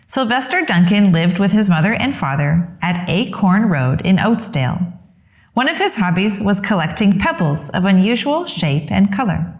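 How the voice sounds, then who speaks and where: A woman reading a children's story aloud as expressive narration, with deliberate, dramatic phrasing. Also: medium pitch, reverberant. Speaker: children's story reader, host.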